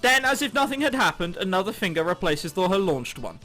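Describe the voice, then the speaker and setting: A man's voice: sits high, sing-song, brisk, bright, forceful tone. A man reading a visual novel aloud, with sarcastic asides and swearing. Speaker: let's play host. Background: music.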